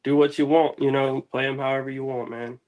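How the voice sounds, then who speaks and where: Laid-back guy, mid-pitched. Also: level tone, a little fast, a little mellow. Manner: laid-back, slangy, rambling. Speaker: interview guest, music artist. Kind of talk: casual chat.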